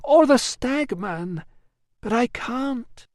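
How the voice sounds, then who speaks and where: A woman's voice: fairly high pitch, animated, extremely slow, a little mellow, dry recording, clean studio sound, pitched above the performer's own voice. A man reading an audiobook, voicing a sad, hesitant middle-aged woman who is a brewer's daughter.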